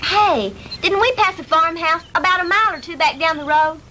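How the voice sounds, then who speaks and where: A woman's voice: very high voice, a little fast, bright, forceful tone. An actress playing a peppy, complaining young girlfriend in a 1960s B-movie. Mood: complaining, uneasy. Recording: noisy 1960s film soundtrack.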